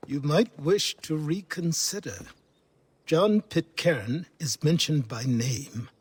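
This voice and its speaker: A wry older mentor for a game. His voice is raspy and a touch high, and he delivers understated lines slowly. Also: darkish tone, no room sound. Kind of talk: video game character acting.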